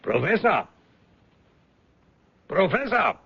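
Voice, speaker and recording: An actor playing a stern, deferential henchman in a radio drama, gravelly and clipped. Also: high-pitched, sing-song, slow pace, crowd noise, full character voice. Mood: stern, urgent. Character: stern, deferential male German henchman to a professor. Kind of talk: 1970s radio drama acting. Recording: dull, lo-fi old radio broadcast.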